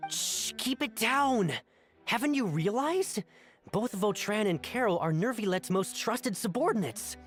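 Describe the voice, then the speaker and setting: An actress playing a calculating, indignant citizen in a video game, persuasive and emphatic. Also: female voice, mid-range voice, animated, measured pace, faint music behind, natural voice. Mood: scheming. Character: calculating, indignant female citizen, pleading conspirator. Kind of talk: dramatic video game voice acting.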